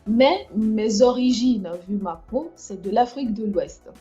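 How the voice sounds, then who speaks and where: A woman hosts a casual livestream. Her voice sits a little high and sounds mellow, and she talks haltingly, restarting often. The audio is dull and lo-fi, with faint music underneath. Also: animated. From France. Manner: hesitant, many fillers and restarts. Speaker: livestream host.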